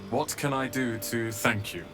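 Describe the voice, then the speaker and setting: A young man playing an earnest, resolute wizard's apprentice with a wounded past in a dramatic video game, speaking in a measured, emotional way. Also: medium pitch, over music, lightly acted. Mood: resolute, grateful.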